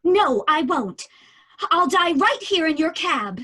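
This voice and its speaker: An eerily calm lady passenger. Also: extremely high pitch, slow, exaggerated voice. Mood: serenely insistent. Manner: insistent, serenely unbothered. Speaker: cab passenger. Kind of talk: old-time radio drama.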